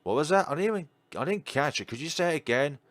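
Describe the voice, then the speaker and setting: A man's voice: a little high, lively intonation, quick pace, fairly bright tone, no room sound, voice pushed up. A man voicing a hostile, protective housemate in a visual novel, sarcastic and confrontational. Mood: taunting. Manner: taunting, confrontational.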